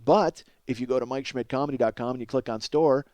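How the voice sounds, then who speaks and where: A man's voice: medium pitch, fast, a little mellow, no room sound. A middle-aged American man delivering a casual, profane comedic monologue as a podcast host, exasperated and self-mocking, addressing his listeners as folks.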